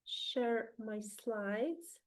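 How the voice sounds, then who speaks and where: A Polish-accented woman presents a webinar over a video call. She speaks slowly in a slightly high voice and fills her many pauses with ums and uhs. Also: rough recording. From Poland.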